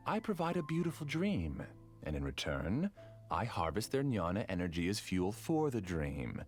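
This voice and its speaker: A man voicing an obsessed, grieving male scholar who calmly justifies himself in a game. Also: low-pitched, moderate pace. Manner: calm, self-justifying. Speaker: game character. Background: game music.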